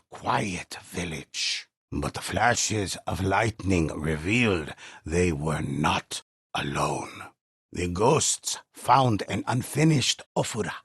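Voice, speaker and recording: A man playing a grave old storyteller in a video game, narrating dark war tales in an ominous, measured, theatrical way. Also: sits low, dry recording, studio quality, heavily acted. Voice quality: husky, gravelly. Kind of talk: scripted dramatic storytelling narration.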